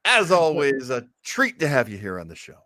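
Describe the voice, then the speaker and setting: An American man hosts a casual chat show in a theatrical, effusive way. His pitch swings widely in a middle register, and he sounds close and dry, with a self-mocking streak. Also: wildly swooping pitch, moderate pace, sharp tone, dry recording. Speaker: host. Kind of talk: casual chat on an old time radio fan show. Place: indoors at home.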